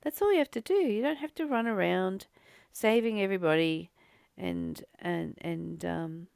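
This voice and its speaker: A woman giving a contemplative spiritual reading with informal personal commentary, pausing and repeating phrases as she goes. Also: medium pitch, lively intonation, gravelly, a little mellow, clean tone, no echo, clean studio sound. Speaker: host of a spiritual reading and study series.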